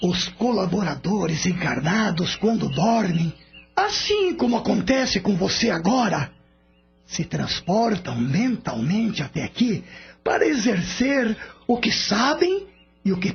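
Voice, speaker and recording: Slow, creaky older spirit. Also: male voice, high voice, a little hiss or hum, full character voice. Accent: Brazilian. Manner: didactic, measured explaining. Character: serene older male spirit, patient teacher. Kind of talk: radio drama acting. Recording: dull lo-fi radio recording with music. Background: music.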